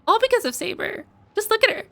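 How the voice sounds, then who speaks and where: A young adult woman giving casual, playful and expressive reaction commentary as a game streamer. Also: very high-pitched, fast talker.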